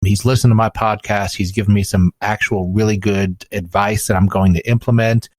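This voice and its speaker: A man's voice: on the low side, fairly even intonation, normal speed, darkish tone, no echo. A plain-spoken man giving an informal testimonial as a podcaster, repeating words for emphasis.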